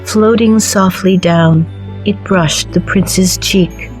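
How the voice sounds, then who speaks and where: Woman narrator for a kids' storybook, slow, clear and even. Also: mid-range voice, faint music behind, low-quality recording. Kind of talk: scripted storybook narration for children.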